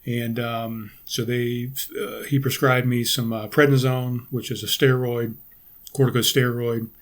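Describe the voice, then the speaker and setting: A middle-aged man talks casually on his own podcast, his voice raspy and hoarse from congestion. His pitch sits in an ordinary middle range. He rambles, restarts his sentences and keeps clearing his throat. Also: flat delivery, a little slow. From United States. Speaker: podcast host. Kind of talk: casual solo podcast talk.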